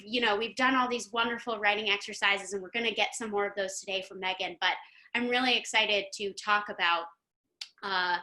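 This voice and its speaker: A woman hosting a live online interview in an effusive, excited, chatty manner over a video meeting. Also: medium pitch, poor audio. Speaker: host.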